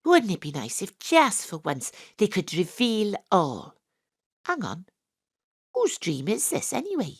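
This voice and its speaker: A woman's voice: mid-range voice, huge pitch swings, a little slow, slightly rough, dry recording, studio quality, heavily acted. A woman playing a brash, sweary private detective who narrates her own comic audio drama in a breezy, irreverent way. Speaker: narrator and lead character. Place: home studio.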